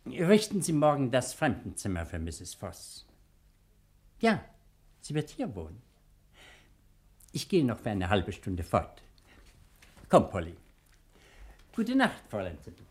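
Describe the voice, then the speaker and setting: An actor playing a benevolent older senior judge in a radio drama, formal, gallant and decisive. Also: male voice, mid-range voice, wildly swooping pitch, measured pace, long pauses, a little mellow. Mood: commanding, polite. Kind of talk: German radio comedy drama acting.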